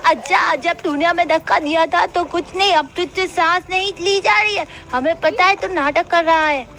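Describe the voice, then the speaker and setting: A woman's voice: very high voice, normal speed, sharp tone. A woman voicing a teasing, pushy young boy in a cartoon story. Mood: taunting. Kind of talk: Hindi cartoon story voice acting.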